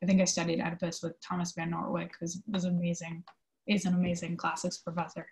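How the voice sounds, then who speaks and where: A woman answering interview questions in an informal, conversational way, explaining her work thoughtfully with pauses. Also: lowish voice, completely flat delivery, slight background noise, low-quality recording. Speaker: theatre company manager being interviewed.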